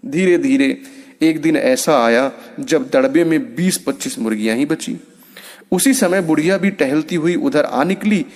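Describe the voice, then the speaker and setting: A man's voice: on the high side, a little slow, darkish tone, long reverb tail. A man narrating a scripted moral story for children as a storyteller.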